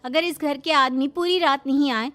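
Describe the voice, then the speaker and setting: A woman's voice: high-pitched, normal speed, sharp tone, no echo, faint music behind, pitched above the performer's own voice. A woman playing a defiant, outspoken, modern young city bride in an audio story, questioning everything indignantly.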